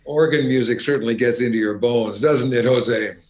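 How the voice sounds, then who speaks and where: A man hosting a concert, introducing performers and interviewing them in a measured, courteous presenter's manner. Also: mid-range voice, a little mellow. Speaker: concert host.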